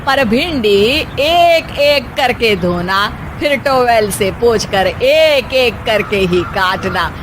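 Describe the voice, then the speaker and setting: A woman's voice: fairly high pitch, animated, slow, few pauses, background noise. A woman voicing a bossy, fussy middle-aged mother-in-law who scolds her daughter-in-law in a cartoon story. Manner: fussy, scolding, ends with a nagging "हैं?".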